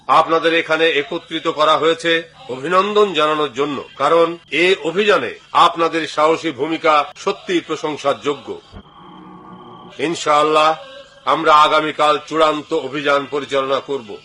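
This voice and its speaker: Bright, forceful commander played by a man. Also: fairly high pitch, a little slow. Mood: solemn, rousing. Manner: formal, declamatory oratory. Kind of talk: dubbed cartoon speech to troops.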